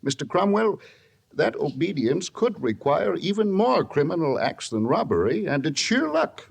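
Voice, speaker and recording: Gravelly, husky stern judge. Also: male voice, fairly high pitch, very animated, full character voice. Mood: stern, firm. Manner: measured, admonishing. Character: stern, shrewd, fatherly male judge. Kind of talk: old-time radio drama acting.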